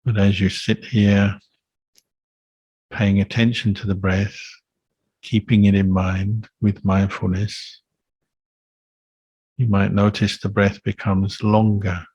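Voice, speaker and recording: Male meditation teacher, very even delivery, slightly husky and soft-edged, calm phrasing with gentle pauses. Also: fairly low pitch, no room sound, muffled recording. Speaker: Buddhist monk teacher leading meditation. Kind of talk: guided meditation instruction.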